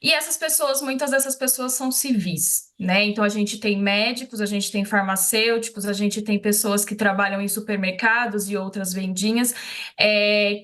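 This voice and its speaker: A Brazilian woman speaking as an expert guest in a live news interview, explaining in a measured way with frequent tag questions. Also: on the high side, fairly bright tone.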